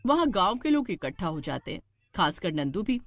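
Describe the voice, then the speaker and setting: A woman narrating a scripted horror cartoon story in an expressive storytelling style. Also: mid-range voice, moderate pace, no room sound.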